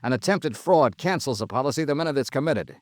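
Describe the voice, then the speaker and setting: An American actor playing a cool, accusing insurance investigator in a 1950s radio drama, with a clipped, deadpan delivery. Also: male voice, mid-range voice, fast, no echo, close to an everyday voice. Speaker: lead actor and narrator. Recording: vintage radio drama, dry, music behind.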